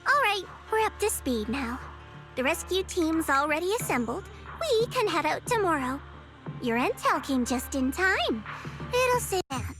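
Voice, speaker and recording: Game role: upbeat female rescuer, high and animated, clear music behind. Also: measured pace, heavily acted. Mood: businesslike, eager. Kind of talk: video game voice acting.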